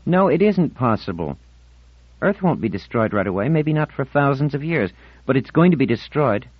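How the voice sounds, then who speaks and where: A male narrator plays a defiant teenage girl in an audiobook story, raised well up in pitch. She is articulate and moody, insisting on her point with solemn indignation. The sound is slightly dull and very dry. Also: sing-song, moderate pace, breathy edge, no echo. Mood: grave, intense.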